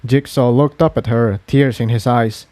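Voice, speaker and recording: A man's voice: medium pitch, fairly even intonation, normal speed, dark tone, no echo. A man reading an adventure story aloud as a scripted dramatic narration. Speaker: fan fiction reader and narrator.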